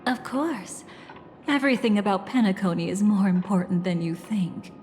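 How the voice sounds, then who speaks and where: A woman's voice: mid-range voice, lively intonation, a little mellow, slight background noise, close to an everyday voice. An actress playing an elegant, mysterious woman in a video game, capricious and playfully menacing, with a teasing, theatrical delivery. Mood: sly, intimate. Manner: theatrical, teasing, silky and deliberate. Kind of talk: video game character dialogue. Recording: long reverb, music behind.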